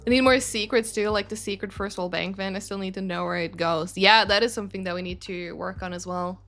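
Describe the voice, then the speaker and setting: A woman's voice: medium pitch, steady tone, a little fast, a bit bright, soft background music. A young woman chatting on a livestream as a game studio's community manager, answering viewer questions in a rambling way with lots of fillers and self-corrections. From Sweden. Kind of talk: casual livestream chat reading viewer questions.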